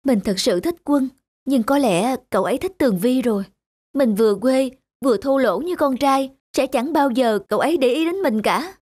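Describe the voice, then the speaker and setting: An actress voicing an earnest young woman in a sentimental animated moral story. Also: high-pitched, normal speed, dry recording. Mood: wistful. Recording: voice over prominent background music.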